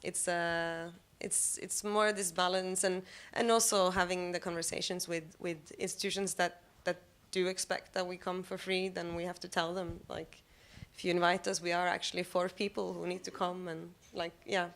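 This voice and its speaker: Flat, low-voiced woman panelist. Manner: thoughtful, halting, self-deprecating. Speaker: panelist, member of a collective. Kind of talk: live panel discussion. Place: cafe of an arts venue.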